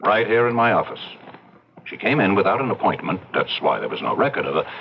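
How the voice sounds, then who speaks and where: On a muffled radio recording with slight hiss, a male psychiatrist in a drama. The fairly low voice is clipped, formal and guarded, evasive under questioning. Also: very animated, fairly quick. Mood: hesitant, confiding. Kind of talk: old-time radio drama acting. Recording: dull, noisy old radio recording.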